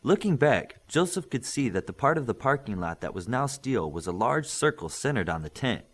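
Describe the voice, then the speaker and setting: Expressive male audiobook narrator with lively pitch. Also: moderate pace, slightly rough. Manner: expressive storytelling, acting out dialogue. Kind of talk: scripted narration of a children's superhero novel.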